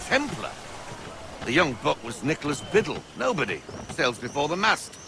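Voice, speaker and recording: Game role: genial naval mate, raised creaky voice, salty talk, outdoor noise behind. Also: male voice, a little high, very animated, moderate pace. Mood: genial, explanatory. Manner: hearty, colloquial seafaring talk. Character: genial, blustery older naval first mate. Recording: noisy outdoor harbour ambience.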